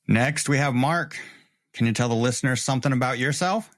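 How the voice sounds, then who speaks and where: A man's voice: mid-range voice, fairly quick, fairly bright tone, no echo, clean studio sound. A man in his forties, the game master and host, talking casually on a podcast in an easygoing way.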